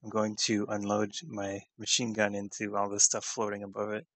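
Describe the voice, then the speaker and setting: A man's voice: lowish voice, monotone, moderate pace, a bit bright, no room sound. A man chatting casually as a player in a tabletop roleplaying game.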